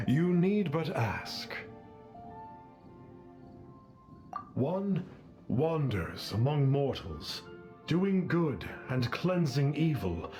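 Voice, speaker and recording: Slow, pompous self-styled celestial sage. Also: male voice, fairly high pitch, darkish tone. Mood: grandly condescending. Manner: pompous, archaic, grandiloquent speech. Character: pompous masked man posing as a lofty celestial adept. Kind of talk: theatrical video game dialogue.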